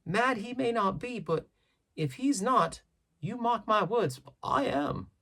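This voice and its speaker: A man voicing a blunt, thunderstruck sailor from a sea-adventure storybook, speaking in drawn-out exclamations. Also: high voice, animated, pushed and bright, pitched above the performer's own voice, exaggerated voice. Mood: stubborn, emphatic. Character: Gray, gruff thunderstruck pirate-era sailor, stubbornly blunt.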